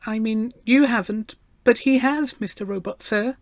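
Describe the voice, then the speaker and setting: A man voicing an earnest, very polite little girl in a storybook, with a halting treble voice. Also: high voice, no room sound, voice pushed up. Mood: earnest, hopeful.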